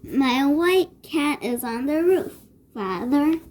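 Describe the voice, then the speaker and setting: Woman playing a brave little storybook boy, high-voiced. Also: lively intonation, takes it slowly, darkish tone, slight background noise. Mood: innocent. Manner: earnest, reassuring. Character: brave, caring young boy in a fairy tale.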